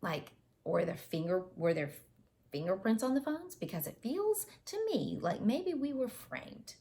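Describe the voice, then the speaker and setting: A woman's voice: medium pitch, sing-song, a little slow, vocal fry, dark tone, pitched above the performer's own voice. A woman voicing an earnest teenage boy with a Southern accent. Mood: pleading. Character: teen boy, earnest baseball pitcher.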